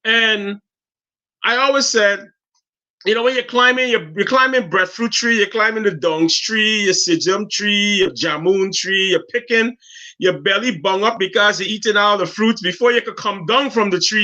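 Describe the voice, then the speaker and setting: A Guyanese man in his fifties speaks with a high, bright, forceful voice. He tells his stories in an animated, heartfelt way and keeps restarting his sentences. The sound is dull and lo-fi. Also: normal speed. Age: 50s. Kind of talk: casual talk show chat.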